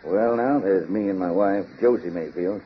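Man playing a deep-voiced folksy country farmer. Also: moderate pace, close to an everyday voice. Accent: rural American. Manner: drawling, homespun talk. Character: folksy hired farm manager, a plain country man. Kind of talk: 1930s-40s radio drama acting. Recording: dull old radio recording, background noise.

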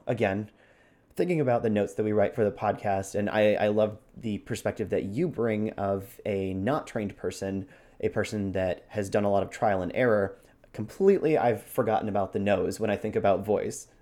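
Low-voiced man co-hosting a podcast. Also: steady tone, moderate pace, mellow. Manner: demonstrates points with his own voice. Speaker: podcast co-host. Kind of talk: explanatory podcast talk on voice acting.

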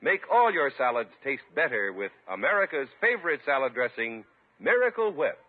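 A man's voice: medium pitch, very animated, moderate pace, music in the background. A male announcer reading a 1950s radio commercial with polished, persuasive salesmanship. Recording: tinny, narrow-band old radio recording.

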